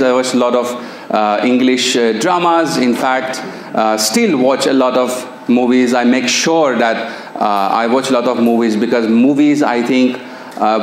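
Echoey, chatty Pakistani-accented man. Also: mid-range voice. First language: Persian. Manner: chatty, engaging, asks audience questions. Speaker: NLP coach and trainer. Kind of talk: live seminar presentation. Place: seminar room with projector screen. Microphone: handheld microphone. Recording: echoey room, faint hum.

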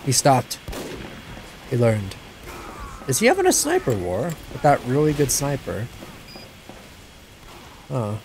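A young man giving casual gameplay commentary, excitable, yelping and laughing at the action, his voice a little stuffed up. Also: medium pitch, slow, pauses often, slight background noise. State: a bit stuffed up from crying. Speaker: gaming channel host.

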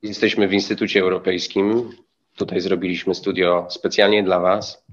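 A man in his thirties co-hosting a live festival stream from a studio, chatty and filling pauses with hesitations. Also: sits low, level tone, muffled recording. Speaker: festival co-host. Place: studio set in an institute.

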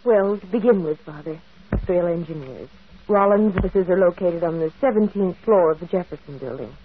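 An actress playing a polite, earnest young office secretary in an old radio drama. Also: female voice, lowish voice, normal speed, a little hiss or hum, natural voice. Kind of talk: 1940s radio drama acting. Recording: muffled old radio broadcast.

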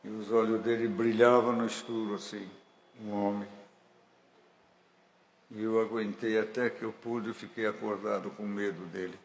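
A man's voice: fairly low pitch, level tone, normal speed, pauses often, a little hiss or hum. A man with a Brazilian Portuguese accent preaching a fervent sermon in a church hall, building to emphatic declarations. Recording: echoey church hall with faint ambience.

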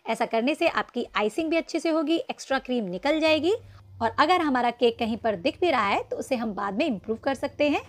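A woman narrating a step-by-step cake icing tutorial, patiently instructing viewers and calling them friends. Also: on the high side. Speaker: cooking channel host. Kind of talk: step-by-step baking tutorial voice-over.

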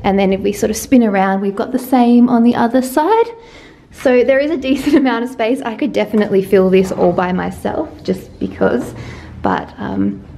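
A woman's voice: a little high, mellow, slight background noise. Chatty woman in an echoey room. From Australia. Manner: chatty and upbeat, with frequent laughs. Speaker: vlogger. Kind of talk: casual apartment tour vlog. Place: empty new apartment, indoors. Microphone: handheld camera at arm's length. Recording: echoey empty rooms.

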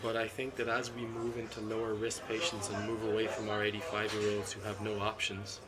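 Measured man in a flat, low voice. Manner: measured, analytical, many restarts and ums. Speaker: interventional cardiologist guest. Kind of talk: conference panel interview. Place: seated at a conference booth indoors. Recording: constant conference hall ambience.

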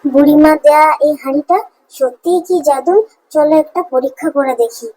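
A woman voicing a caring, excitable village housewife in a cartoon fairy tale, with an expressive, fussing manner. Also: high voice, close to an everyday voice, low-quality recording.

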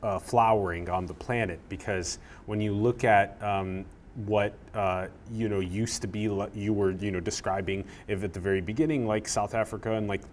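A man in his thirties hosting an interview, talking in a rambling, animated way with lots of "like", on a lapel mic in a small room. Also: fairly low pitch, moderate pace, a little hiss or hum. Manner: rambling, animated, uses "like" a lot. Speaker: podcast host. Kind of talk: casual interview chat. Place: small plain room indoors.

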